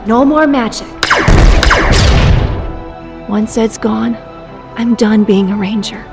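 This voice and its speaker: Girl acting a defiant insistent young hero, highish voice, music blasting behind, audio drama. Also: takes it slowly. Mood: defiant, angry. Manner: curt, insistent.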